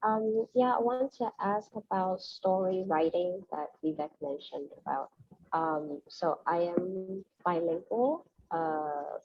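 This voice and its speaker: Woman, lowish pitch, tentative audience questioner, self-effacing, muffled lo-fi call sound. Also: slow, breathy edge, no room sound. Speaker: audience member asking a question. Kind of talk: informal spoken question.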